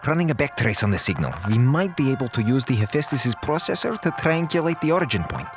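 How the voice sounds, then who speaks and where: A secretive doctor of science aboard a space station. He is formal and commanding, with clipped phrases and a widely moving pitch. Music plays behind this radio-play voice. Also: very animated, quick pace. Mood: focused. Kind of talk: audio drama acting.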